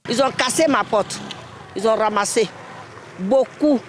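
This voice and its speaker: A woman's voice: on the high side, measured pace, a little hiss or hum. A woman street vendor giving a spontaneous, distressed testimony to a news crew. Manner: distressed, complaining. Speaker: market vendor interviewed for news.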